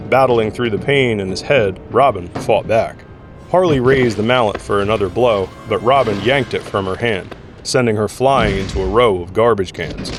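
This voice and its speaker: Low-pitched male audio drama narrator.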